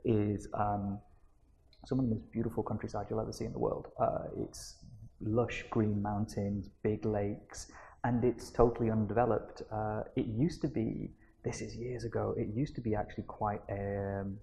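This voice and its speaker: A man's voice: lowish voice, little pitch movement, moderate pace, creaky, dark tone, slight background noise. A young man, chatting as an interview guest in a rambling way, full of fillers and restarts. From Manchester, England. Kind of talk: casual conversational interview.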